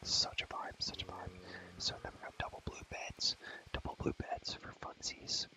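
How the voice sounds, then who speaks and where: Young male ASMR creator for gaming commentary. Whispers throughout, rambles casually in slang, softly repeats himself. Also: moderate pace, poor audio.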